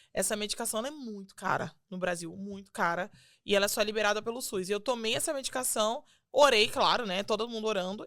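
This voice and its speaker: A young adult woman with a Brazilian Portuguese accent, a singer guesting on a podcast, telling her faith story in an earnest, animated way and acting out quotes. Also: mid-range voice, dry recording, studio quality. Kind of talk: casual testimony chat.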